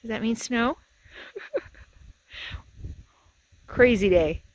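A woman's voice: fairly high pitch, drawn-out words, a little hiss or hum, rough recording. A woman in her fifties vlogging casually from outdoors and inside her RV, chatting and sweetly talking to her dog, recorded on a phone at arm's length. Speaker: RV travel vlogger. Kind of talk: casual vlog chat to camera and dog. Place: outdoors in windy high desert and inside an RV. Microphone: phone camera at arm's length.

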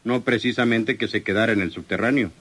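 A man's low voice carries this radio drama villain. He is a possessive crime boss, condescending and smooth-talking, who expects to be obeyed. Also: brisk, a bit bright. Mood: indignant. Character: menacing, possessive male crime boss, self-satisfied suitor. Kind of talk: old radio soap opera acting.

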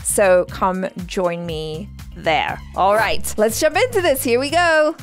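A young woman hosts a casual reaction video. She sounds chatty and informal at a middle pitch, with soft music underneath. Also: lively intonation, takes it slowly. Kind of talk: casual chat, live reaction.

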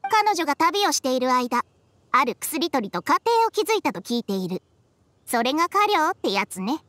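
High-voiced, wry little snake spirit. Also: female voice, very animated, moderate pace, fairly bright tone, a little hiss or hum. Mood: matter-of-fact. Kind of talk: anime game voice acting.

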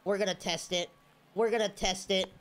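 A young man streaming a baseball game, talking casually and yelling at the plays, a bit congested with a slight cough, on a headset mic at home. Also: high voice, normal speed, slight background noise. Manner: excitable, yelling at the game, slangy "bro". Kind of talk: casual gameplay commentary.

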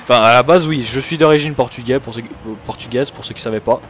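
A young man from Switzerland, commentating his own gameplay excitedly and laughing often. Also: mid-range voice, fast, a little hiss or hum. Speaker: gaming YouTuber.

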